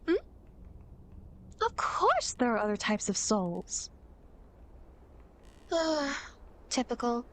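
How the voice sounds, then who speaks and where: A woman's voice: high voice, slight background noise. A woman playing a playful, teasing demon girl who guides dead souls, in a coy, dramatic ASMR roleplay. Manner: coy, mischievous, mock-sympathetic, dramatic. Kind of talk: ASMR roleplay, acted monologue to the listener.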